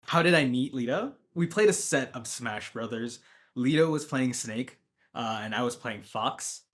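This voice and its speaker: Young man, laid-back, crisp bright tone, relaxed interview storyteller. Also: medium pitch, moderate pace. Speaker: tournament organizer. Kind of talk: documentary interview.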